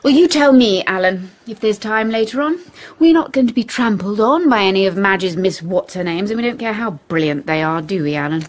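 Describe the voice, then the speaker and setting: An actress in a radio play as a good-humoured, frank English sister, talking briskly and teasingly. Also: female voice, medium pitch, lively intonation, lightly acted. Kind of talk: radio drama acting.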